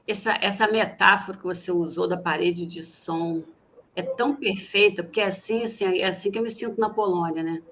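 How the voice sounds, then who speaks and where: A woman's voice: lowish voice, a little fast, fairly bright tone, slight background noise. Chatty woman hosting a livestream. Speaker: co-host. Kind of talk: livestream talk show.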